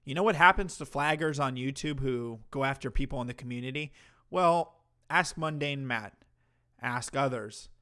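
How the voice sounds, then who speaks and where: A man's voice: mid-range voice, moderate pace, bright, forceful tone, clear, resonant tone. A man hosting a livestream, reacting to a clip with mocking, profane commentary. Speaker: commentary streamer host.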